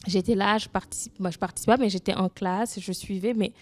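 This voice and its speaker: A young woman from Senegal, a Wolof speaker, tells her own story as an interview guest. Her voice sits at an ordinary mid pitch in a dry, close sound. She is earnest and keeps restarting, leaning on "comme" as she goes. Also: fairly even intonation, quick pace, dry recording. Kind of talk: personal testimony in an interview.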